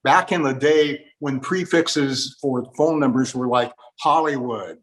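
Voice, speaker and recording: A man's voice: medium pitch, measured pace, a bit bright, rough recording. An older man hosting a conversational interview, reminiscing and explaining old broadcasts.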